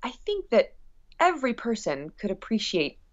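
A woman's voice: on the high side, huge pitch swings, moderate pace. Chatty woman on a dull, lo-fi recording. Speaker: musician guest. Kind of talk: casual interview chat.

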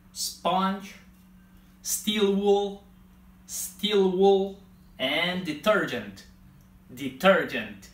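A man in his thirties teaching vocabulary, speaking deliberately with clear enunciation and repeating key words, recorded in a small home kitchen. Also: on the high side, a bit bright. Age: 30s. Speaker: English teacher. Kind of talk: vocabulary lesson, demonstrating words. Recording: echoey room sound.

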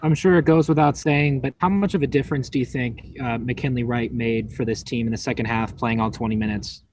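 A man's voice: medium pitch, steady tone, a little fast, darkish tone, rough recording. A male reporter putting direct questions to a coach at a press conference.

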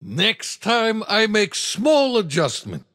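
A man voicing a big, blustery alien mad scientist in a racing video game, with a Russian accent and boastful, theatrical exclamations in a gravelly rasp. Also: high-pitched, huge pitch swings, takes it slowly, fairly bright tone, heavily acted. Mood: disappointed. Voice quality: gravelly, raspy. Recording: long reverb tail.

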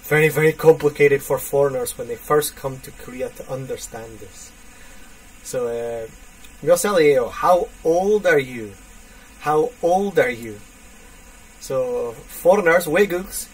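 A man in his thirties teaching a basic English lesson, speaking slowly and repeating phrases for learners. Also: a little high. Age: 30s. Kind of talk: online English lesson for students. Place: indoors, small room. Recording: distant webcam mic with faint hiss.

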